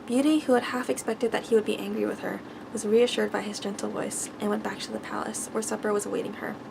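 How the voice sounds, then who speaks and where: A soft-spoken woman reading a bedtime story in ASMR style, stumbling now and then over the words. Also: mid-range voice, level tone, quick pace, vocal fry, background noise.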